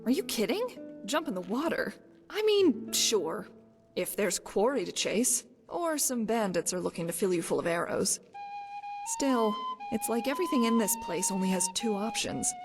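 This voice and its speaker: A woman's voice: on the high side, very animated, moderate pace. A woman voicing a guarded, breezy desert-born warrior woman in a video game, talking in a wry, casual way. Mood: incredulous. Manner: wry, casual, brush-off banter. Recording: long reverb, music behind. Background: game music.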